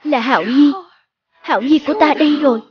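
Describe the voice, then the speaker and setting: A woman reading a scripted voice-over dub for an animated series. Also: high-pitched, a little fast, no echo, muffled recording.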